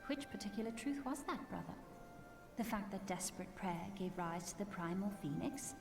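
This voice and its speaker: A woman's voice: medium pitch, animated, normal speed, gravelly. An actress playing an earnest, resolute, proud young noblewoman with formal, archaic diction in a fantasy video game. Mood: grave, probing. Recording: echoey game audio under loud music.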